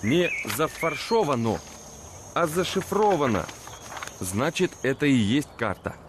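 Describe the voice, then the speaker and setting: A man's voice: on the high side, big pitch swings, unhurried, background noise. A man voicing a know-it-all robot compass in a children's cartoon, explaining things patiently with a slightly teasing, instructive manner.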